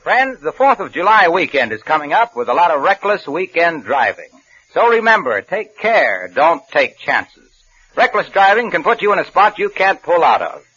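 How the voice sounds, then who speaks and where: A man's voice: a little high, very animated, moderate pace, bright, forceful tone, a little hiss or hum. A man working as a radio announcer, reading a sponsor's advertisement in 1950s broadcast style. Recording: muffled old radio broadcast recording.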